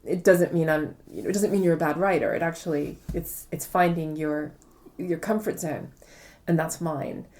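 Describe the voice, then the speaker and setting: Female author in interview; low-ish, very soft-edged mellow voice, articulate step-by-step explainer. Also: fairly even intonation, normal speed, hardly any pauses.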